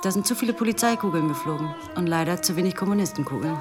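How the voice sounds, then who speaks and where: A woman's voice: on the low side, lively intonation, very fast, music in the background, close to an everyday voice. An actress playing a shrewd, flirtatious policewoman in a German radio play, dry and self-assured. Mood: dry, brisk.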